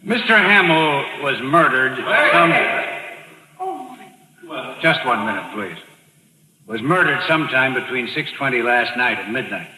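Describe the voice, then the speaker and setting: An actor playing an authoritative, official police officer in a 1940s radio thriller. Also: male voice, medium pitch, animated, crowd noise, lightly acted. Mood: commanding, formal. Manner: official, commanding, measured. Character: authoritative male police officer addressing suspects. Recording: echoey, noisy old radio recording.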